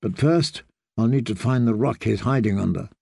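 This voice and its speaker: A man voicing a grim, one-handed knight who speaks in a cold, level, quietly menacing way. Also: medium pitch, moderate pace, gravelly, husky, dry recording, clean studio sound.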